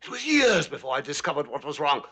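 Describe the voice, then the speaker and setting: High, slow, defensive old father. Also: sing-song, a bit bright, husky, exaggerated voice. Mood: defensive, agitated. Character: defensive, aggrieved old father, miserly patriarch. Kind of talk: dramatic stage dialogue.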